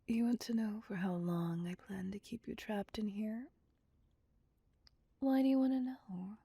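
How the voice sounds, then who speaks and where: A woman's voice: medium pitch, dark tone, dry recording. A woman playing a seductive, possessive female vampire in a whispered ASMR roleplay, with a teasing, domineering manner. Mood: flirty, amused. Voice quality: breathy, whispery. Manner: slow, teasing, domineering, purring.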